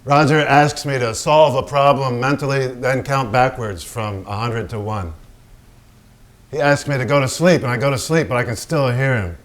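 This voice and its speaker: An actor in a staged reading playing a brash, vulgar and troubled celebrated painter, with a raw, profane, halting delivery. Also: male voice, medium pitch, lightly acted. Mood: confiding, uneasy. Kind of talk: theatrical staged reading, dramatic monologue.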